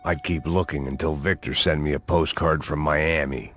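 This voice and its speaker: World-weary middle-aged game hero, low gritty voice, American accent, sardonic noir voice-over, loud noise behind. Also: male voice, normal speed. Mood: wry, weary. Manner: deadpan, world-weary, sardonic hard-boiled narration. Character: weary, cynical middle-aged male action hero, self-loathing.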